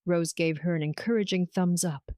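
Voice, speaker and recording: A woman's voice: fairly low pitch, moderate pace, mellow, no echo, clean studio sound. A woman storyteller reading a scripted romance story as narration.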